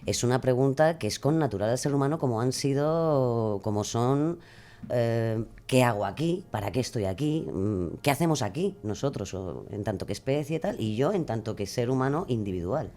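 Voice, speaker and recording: A woman's voice: extremely low pitch, lively intonation, moderate pace, mellow. A woman musing hesitantly and philosophically as a podcast guest. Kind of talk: conversational interview answers.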